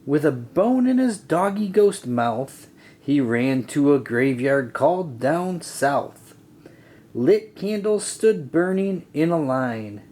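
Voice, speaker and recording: A man reading a rhyming children's story aloud in an expressive, deliberate, sing-song way. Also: fairly high pitch. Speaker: storybook reader. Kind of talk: children's read-aloud narration.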